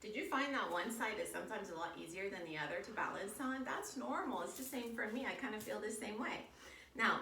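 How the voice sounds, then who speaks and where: Lady doing kids yoga class, encouraging, bit creaky voice, far from the mic with some room echo. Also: mid-range voice, pushed and bright. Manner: encouraging, simple instructions for young children. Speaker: kids' yoga and storytime teacher. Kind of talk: guided children's yoga lesson. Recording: roomy, distant mic, faint background noise.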